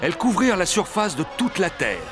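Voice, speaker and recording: Higher-pitched man narrating a story. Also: big pitch swings, fairly quick. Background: music, outdoor ambience effects.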